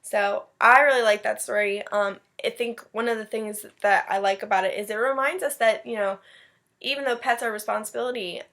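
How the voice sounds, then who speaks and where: A woman's voice: fairly high pitch, steady tone, brisk, a bit bright. A woman reading a storybook aloud as a teacher, adding chatty, encouraging asides in simple words for children. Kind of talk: animated storybook read-aloud with casual asides.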